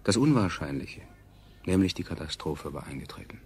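An actor playing a detached narrator in a science fiction radio play, speaking in a dry, ironic, wordy way. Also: male voice, low-pitched, expressive, moderate pace, darkish tone, slightly rough, exaggerated voice. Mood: detached irony. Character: detached, ironic male narrator recounting a catastrophe. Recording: faint noise, 1970s radio production.

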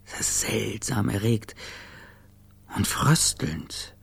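A man's voice: on the low side, lively intonation, drawn-out words, creaky, slightly rough, full character voice. An actor playing a weary, self-observing seducer who narrates his own story in second person in a radio drama. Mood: intimate, observant.

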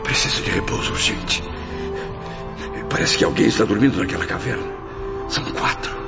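A man voicing an anguished male spirit of a suicide in a radio play, weary and proud, with a gravelly voice. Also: on the low side, normal speed. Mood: exhausted. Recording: dull lo-fi audio with loud music.

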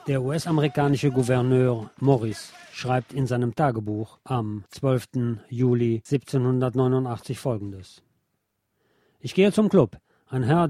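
A man reading historical accounts as scripted radio narration in a measured, literary manner. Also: mid-range voice, mellow, no room sound.

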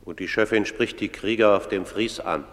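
Casting: male actor for solemn, very low-voiced chronicler of an underworld court. Formal radio drama, long reverb. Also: steady tone, normal speed, lightly acted. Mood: grave. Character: grave male narrator of a tribunal of the dead. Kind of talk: solemn scripted verse narration, radio drama.

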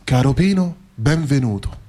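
A man's voice: medium pitch, animated, normal speed, warm tone, close to an everyday voice. An old, courteous gatekeeper of heaven acted out by a man in a radio play. He explains everything with patient, formal politeness. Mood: welcoming.

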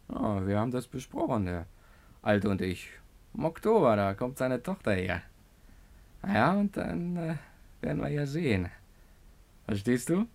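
An understanding, hopeful fisherman, in a medium-pitched voice. His delivery is conversational and a bit halting, in the style of a vintage radio play. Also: big pitch swings, gravelly, a little mellow. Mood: coy, hopeful. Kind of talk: 1950s German radio drama acting.

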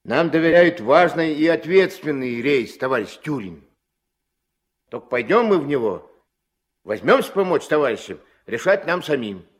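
An actor playing a gruff, resolute riverboat captain in a Soviet radio play, terse and commanding with a folksy, mock-formal manner. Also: male voice, on the high side, sing-song, moderate pace. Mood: solemn, official. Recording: vintage 1970s mono radio recording.

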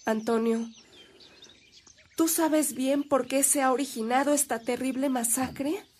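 Devota mujer mexicana, voz aguda. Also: female voice, sits high, steady tone, a little slow, a little mellow. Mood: anxious, insistent. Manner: emotive, earnest, dramatic. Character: devout, anxious Mexican woman, fervent sister of a priest. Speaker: radio drama actress. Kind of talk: Spanish-language radio drama acting.

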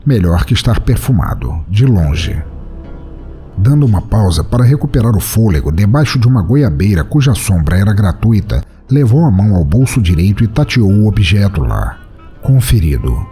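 A man's voice: on the low side, very animated, moderate pace, warm tone. A Brazilian man narrating fiction from a script in a dramatic, wry, ironic storytelling manner. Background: music.

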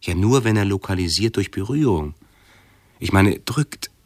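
A man's voice: sits low, lively intonation, normal speed, no room sound, clean studio sound. An actor playing a pedantic, hesitant male hypochondriac intellectual who keeps correcting himself in a radio play. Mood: pedantic, uneasy.

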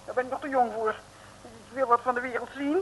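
An actress playing a naive, perky young detective's sidekick in an old comic radio play. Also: female voice, a little high, steady tone, a little fast, fairly bright tone, close to an everyday voice. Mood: restless. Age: young adult. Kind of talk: 1950s-60s comic radio play acting. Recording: noisy old radio recording.

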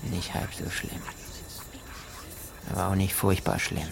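An actor playing a callous, evasive village gamekeeper in a radio play, gravelly and terse with a grudging, dismissive manner. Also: male voice, sits low, flat delivery, a little slow, heavily acted. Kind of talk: German radio drama dialogue. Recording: noisy background ambience.

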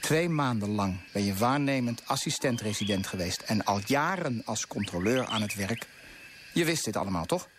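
A man's voice: fairly low pitch, lively intonation, moderate pace, background noise, lightly acted. A man acting a righteous, idealistic colonial official in a radio drama, speaking in an earnest, insistent, declamatory way. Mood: reproachful, indignant. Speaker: actor in a radio play.

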